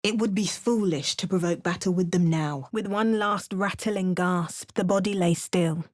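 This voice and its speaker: A woman's voice: lowish voice, moderate pace, no echo, lightly acted. A woman voicing a wry adventurer in a dry studio recording. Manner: dry, understated remarks and calm storybook narration. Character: female adventurer, wry and watchful. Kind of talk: video game voice acting. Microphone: close studio mic.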